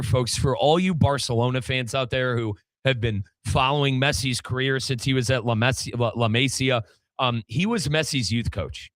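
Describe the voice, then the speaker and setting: Casting: American male soccer podcast host, forceful bright voice, informal and chatty, close dry sound. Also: mid-range voice, no room sound. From United States. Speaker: sports podcast host and play-by-play commentator. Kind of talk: casual, animated soccer discussion.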